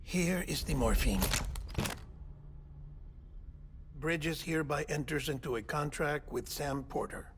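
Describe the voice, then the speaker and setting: A man's voice: fairly high pitch, lightly acted. Formal, courteous game doctor played by a man, faint noise. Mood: businesslike. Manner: formal, explanatory, measured. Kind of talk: cinematic game acting.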